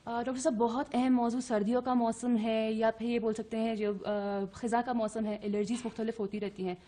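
A woman hosting a TV talk show in a studio, brisk and quick to chime in with agreement. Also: fairly high pitch, level tone, mellow. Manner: brisk, affirming, quick interjections. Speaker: TV show host.

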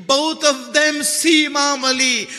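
A man's voice: extremely high pitch, fairly even intonation, measured pace, sharp tone. A man delivering an emotional mourning sermon as dramatic storytelling, plaintive and impassioned, repeating phrases for emphasis. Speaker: religious lecturer, preacher. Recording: long reverberant hall echo.

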